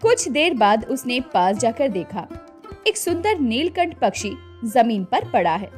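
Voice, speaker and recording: A woman narrating a scripted children's cartoon story in a slow, expressive way. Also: a little high, faint music behind.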